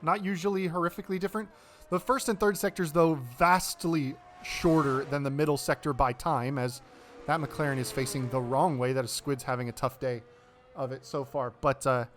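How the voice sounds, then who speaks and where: A man's voice: on the high side, fairly even intonation, normal speed. American man calling a sim race. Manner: chatty play-by-play with fillers and self-corrections. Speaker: race commentator. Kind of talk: live sim racing commentary.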